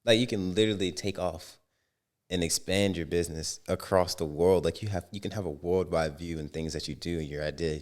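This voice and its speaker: A young man from the United States with a very deep, low voice, co-hosting a podcast. He talks with casual slang and easy energy, building his points as he goes. It sounds like a relaxed conversation between friends. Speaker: podcast co-host.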